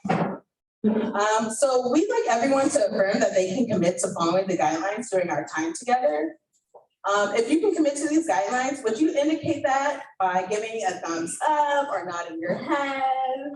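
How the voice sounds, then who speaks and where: A woman's voice: a little high, lively intonation, moderate pace, darkish tone. Echoey, distant woman presenting guidelines. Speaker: event co-facilitator. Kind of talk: presenting discussion guidelines to a hybrid audience. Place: indoor event room with online participants. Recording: echoey room, distant mic, faint noise.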